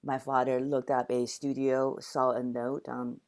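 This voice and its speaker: Low-voiced older woman from Taiwan. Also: level tone, unhurried, darkish tone. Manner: reflective storytelling with frequent restarts. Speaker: guest, dancer and actor. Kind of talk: conversational interview.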